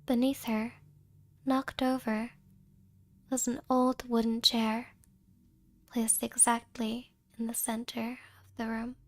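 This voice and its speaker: A woman telling an eerie ASMR haunted farmhouse tale as a hushed narrator, in a soft breathy half-whisper. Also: on the high side, level tone, slow, dry recording, voice pushed down. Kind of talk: ASMR scary storytelling.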